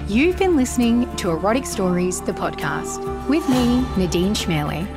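A woman hosting a podcast interview, asking quick, playful questions in a conversational way. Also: medium pitch, expressive, over music. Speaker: podcast host.

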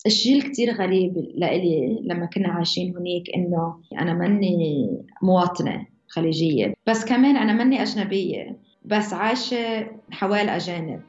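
Fast-talking young woman, Levantine Arabic accent. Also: lowish voice, expressive, a little mellow. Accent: mixed Levantine Arabic. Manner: casual, restarts mid-phrase. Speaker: interviewee. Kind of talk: personal storytelling. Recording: roomy, slightly dull, music under.

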